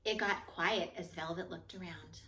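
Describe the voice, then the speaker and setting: A woman reading a children's picture book aloud in an expressive, measured storytelling manner, with phrases that trail off softer. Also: fairly low pitch. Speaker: storybook reader. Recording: echoey room.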